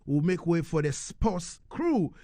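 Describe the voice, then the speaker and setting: A man with a Ghanaian accent hosting a live radio interview, emphatic, building his questions with halting repetitions. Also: a little high, sing-song, mellow, dry recording. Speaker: radio host. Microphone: close studio microphone.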